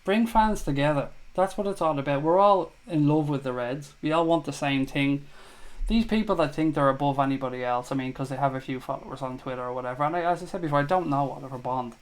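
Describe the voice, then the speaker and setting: A man's voice: on the high side, rough recording. A man hosting a live fan chat show, reading viewer comments aloud and answering them in a blunt, jokey, sweary way.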